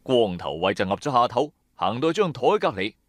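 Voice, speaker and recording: A man's voice: medium pitch, sing-song, moderate pace, dry recording. A man narrating a scripted first-person audio drama in Cantonese-accented speech, in a colloquial, dramatic storyteller's manner. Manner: colloquial storyteller delivery with sentence-final particles.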